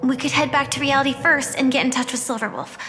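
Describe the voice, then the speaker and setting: An actress playing a gentle, earnest, quietly brave young woman in a video game story, thoughtful and sincere in her delivery. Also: high-pitched, fairly even intonation, fast, background noise, natural voice. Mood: concerned. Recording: long reverb, music behind.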